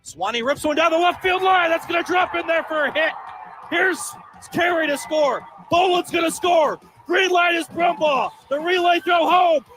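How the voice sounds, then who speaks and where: A man's voice: very high-pitched, normal speed, music in the background, crowd noise. Shrieking male baseball announcer. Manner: builds to frantic shouting. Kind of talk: radio play-by-play.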